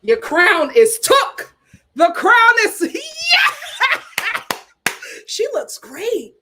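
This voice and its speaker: A woman's voice: extremely high pitch, huge pitch swings, extremely slow, pushed and bright, shouting, a little hiss or hum. A woman hosting a casual reality TV reaction chat, talking back at the screen. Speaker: reality TV commentary host.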